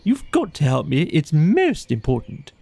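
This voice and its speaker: A man voicing a boisterous, boastful, excitable gentleman toad in a children's audiobook, with pompous, exuberant exclamations. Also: on the high side, a little slow, gravelly, slightly rough, voice pushed up, full character voice. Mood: jovial, pleading.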